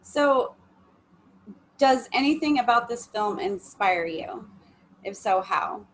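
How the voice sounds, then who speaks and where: Upbeat woman interviewer, slightly high voice. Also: expressive, takes it slowly, a bit bright, slight background noise, low-quality recording. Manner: polite, enthusiastic questioner. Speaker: interviewer, editor. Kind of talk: friendly interview questions.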